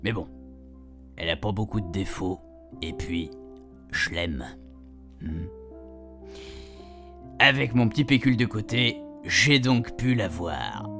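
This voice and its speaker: A man's voice: on the low side, expressive, slow, gravelly, long pauses, a bit bright, soft background music, full character voice. A man playing a chatty, cheeky retired grandpa who rambles colloquially through a radio fiction monologue. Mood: teasing, fond. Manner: rambling, colloquial, repeatedly checking his puns landed. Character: chatty retired grandpa, cheeky, self-amused, homespun storyteller.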